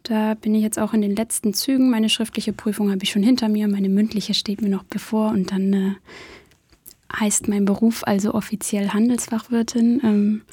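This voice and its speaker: A woman in her early twenties introducing herself casually, with frequent hesitant pauses. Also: medium pitch, little pitch movement, dark tone, husky, no echo. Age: 20s. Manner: hesitant, with fillers. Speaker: documentary interviewee.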